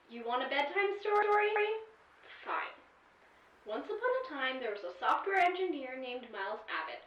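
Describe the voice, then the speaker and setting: A woman's voice: high-pitched, sing-song, a little slow. A woman voicing a snippy, teasing artificial intelligence companion in an audio drama, with sighs and dramatic emphasis. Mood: exasperated. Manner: sassy, sighing, dramatic emphasis. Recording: echoey, distant, dull-sounding voice.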